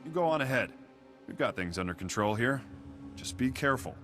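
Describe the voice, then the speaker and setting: An actor playing a firm, businesslike prison warden in a video game, brisk and authoritative. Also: male voice, medium pitch, lively intonation, over music, natural voice. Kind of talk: video game cutscene acting.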